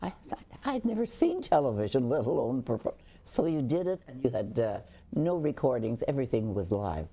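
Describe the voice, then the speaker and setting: Older woman, husky voice, warm dark tone, halting speech, frequent restarts. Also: very deep voice, sing-song. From Canada. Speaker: interview guest. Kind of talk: reflective conversational storytelling.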